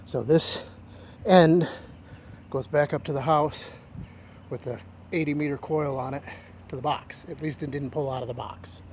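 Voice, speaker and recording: A man's voice: fairly high pitch, steady tone, moderate pace, a little mellow, a little hiss or hum. A man talking casually in his vlog, plain-spoken with a wry, exasperated edge. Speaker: ham radio vlogger.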